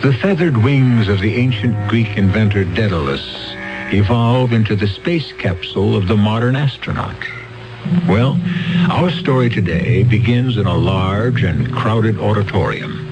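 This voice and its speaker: Measured male radio host. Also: lowish voice, poor audio. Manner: measured, theatrical. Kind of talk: scripted narration.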